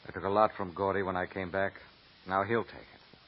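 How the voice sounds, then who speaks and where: A smug, low-voiced gangster. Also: male voice, fairly even intonation, fairly quick. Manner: smug, sly, self-satisfied. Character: cocky younger male gangster underling. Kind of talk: 1940s radio acting. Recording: dull old radio broadcast with faint hiss.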